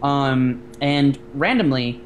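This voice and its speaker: Casual male guest, middle pitch, rambling with restarts, slight hum on line. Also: lively intonation, slow. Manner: rambling, many fillers and restarts. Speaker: guest voice actor and director. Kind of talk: casual podcast chat.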